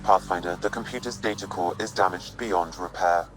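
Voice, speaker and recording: A man playing a calm, formal artificial intelligence companion giving measured reports in a video game. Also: sits low, a little hiss or hum, low-quality recording. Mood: calm, factual.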